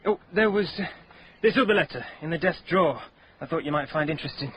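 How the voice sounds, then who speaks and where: An actor playing a blunt, cheeky young detective sergeant in a radio drama, speaking in a breezy, colloquial way. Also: male voice, on the high side, fast talker, slight background noise, natural voice, low-quality recording.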